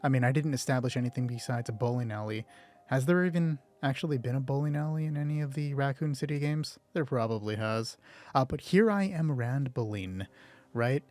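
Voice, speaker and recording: A man's voice: mid-range voice, a little fast, a little mellow, faint music behind. A man hosting a solo roleplay show in casual, rambling, self-deprecating commentary, swearing offhandedly. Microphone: close mic with gain set high.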